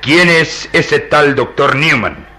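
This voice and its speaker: Forceful, bright-voiced, insistent police inspector. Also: male voice, on the high side, animated, takes it slowly, full character voice. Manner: pressing, theatrical, commanding. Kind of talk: Latin American radio drama acting.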